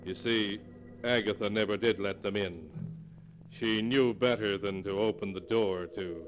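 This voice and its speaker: An actor playing an ominous, measured storyteller narrating a 1940s radio horror tale. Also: male voice, on the low side, steady tone, soft background music, lightly acted. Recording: faintly noisy 1940s radio recording.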